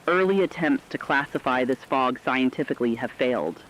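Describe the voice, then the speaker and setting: A man's voice: medium pitch, expressive, a little fast, fairly bright tone, natural voice. A man playing a solemn, formal news anchor giving emphatic warnings in a scripted emergency radio drama. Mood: solemn, urgent. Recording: dull lo-fi radio sound, rainy noise.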